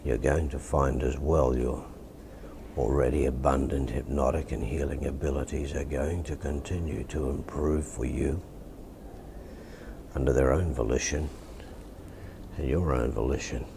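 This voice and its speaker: A softly spoken Australian man with a deep, gravelly voice, speaking as a hypnotist in a measured, soothing, suggestive manner. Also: fairly even intonation, noisy background.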